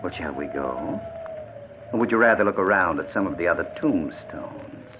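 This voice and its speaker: A man's voice: low-pitched, lively intonation, moderate pace. An actor playing a sadistic, mockingly polite murderer in an old-time radio thriller, toying with his victim in silky mock concern. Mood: mocking menace. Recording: muffled, noisy vintage radio recording.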